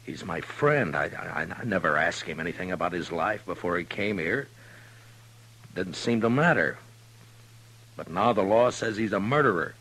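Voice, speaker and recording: An actor playing a steady, grave, plain-spoken frontier lawman in an old-time radio western, halting and understated. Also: sits low, breathy edge, full character voice, rough recording. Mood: troubled, grave.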